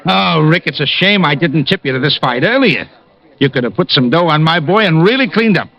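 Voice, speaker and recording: An actor playing an excitable, chummy fight promoter, eager and slangy, repeating himself in old radio drama style. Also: male voice, fairly high pitch, fairly quick, creaky. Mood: jovial. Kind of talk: 1940s-50s radio drama acting. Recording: dull, muffled old radio recording.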